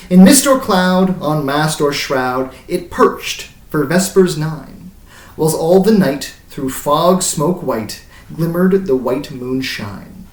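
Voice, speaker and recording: A man voicing a haunted, grey-bearded old sailor who recounts his voyage in dramatic, incantatory verse that swells and falls away. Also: fairly high pitch. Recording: roomy echo, distant mic.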